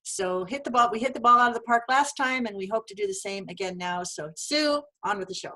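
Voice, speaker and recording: A woman's voice: a little high, brisk, pushed and bright, poor audio. A woman hosting a live webinar over a video call, upbeat and effusive as she introduces her guest. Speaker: host.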